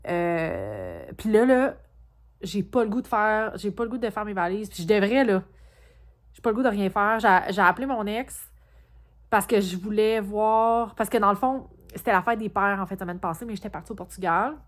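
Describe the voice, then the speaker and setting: A woman's voice: medium pitch, expressive, moderate pace. A woman with a Quebec French accent chatting casually to her vlog in an informal, rambling way, often interrupting herself. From Quebec, Canada. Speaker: vlogger. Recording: clearly noisy, travel ambience.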